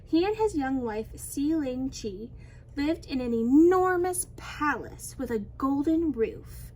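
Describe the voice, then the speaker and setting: A woman reading a children's storybook aloud in an expressive, animated storytelling manner. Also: high voice, slow pace, rough recording. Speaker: teacher reading a picture book aloud.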